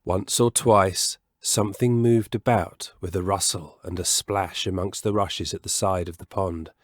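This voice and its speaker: A man telling a children's story as a scripted, expressive reading, measured and savouring the descriptive words. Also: low voice, dry recording, studio quality.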